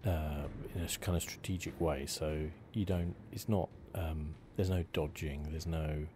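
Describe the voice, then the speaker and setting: A male gaming host with a very deep voice gives relaxed ASMR commentary. He talks in a hushed, conversational way. Game audio is clearly heard behind him. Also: a little slow. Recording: game sounds noisy behind voice. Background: video game audio.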